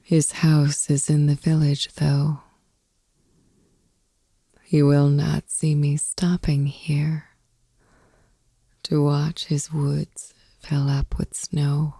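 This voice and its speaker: A woman reading poetry as a sleep ASMR podcast host in a soft, breathy whisper, slow and soothing, close to the microphone. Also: deep voice, monotone, no room sound.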